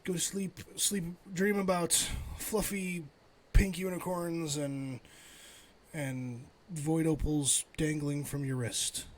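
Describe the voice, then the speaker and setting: A man's voice: on the high side, fairly even intonation, slow pace. A middle-aged man livestreaming a game, thinking aloud and chatting casually with viewers. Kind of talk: casual live game stream chat.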